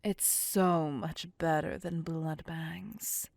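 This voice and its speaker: A woman acting a slow, husky, whispering vampire seductress. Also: low-pitched, fairly even intonation, a little mellow, no room sound, heavily acted. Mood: teasing, smug. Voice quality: breathy, husky. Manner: slow, teasing, seductive, domineering. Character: possessive, cocky, sadistic female vampire captor, playfully menacing. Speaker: voice actor performing audio roleplay. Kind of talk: ASMR roleplay, breathy close whispering.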